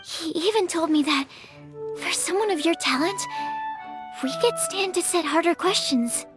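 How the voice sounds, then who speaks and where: A woman playing a gentle, earnest young alchemist's assistant in an anime game, kindly and reassuring. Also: extremely high pitch, expressive, normal speed. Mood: friendly. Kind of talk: anime game character acting. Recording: music under the voice.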